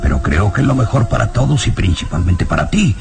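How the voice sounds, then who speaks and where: Casting: anxious old professor in radio drama, gravelly, stammering, pitch leaping wildly. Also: male voice, very animated, a little fast, music in the background. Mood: urgent dread. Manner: stammering, hesitant, evasive. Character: nervous, frightened elderly male professor.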